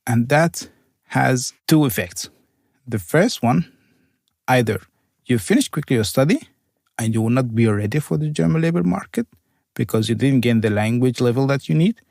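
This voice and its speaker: Gravelly-voiced man, Arabic native speaker. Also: mid-range voice, expressive, moderate pace, a little mellow, no echo, studio quality. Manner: careful, searching for words, slightly non-native phrasing. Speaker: university program coordinator. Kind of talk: conversational interview answers.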